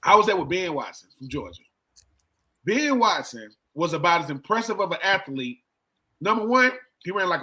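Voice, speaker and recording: A middle-aged man with a high, bright voice talks sports casually. He speaks in colloquial phrases, circles back to repeat his points and slips into quoted dialogue as he tells a story. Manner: colloquial, repeats himself, acts out quoted exchanges. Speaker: sports show co-host and former football player.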